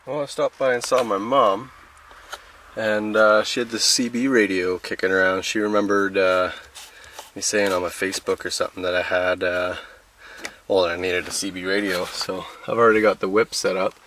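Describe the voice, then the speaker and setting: A young Canadian man with a low voice talks casually to his vlog audience. His delivery is laid-back and slangy, rambling along loosely. The sound comes from a handheld camera mic with clear background noise. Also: little pitch movement, moderate pace, fairly bright tone. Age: 20s. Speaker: vlogger. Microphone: camera's built-in mic, handheld or at arm's length. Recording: noisy handheld camera audio, vehicle and ambient noise.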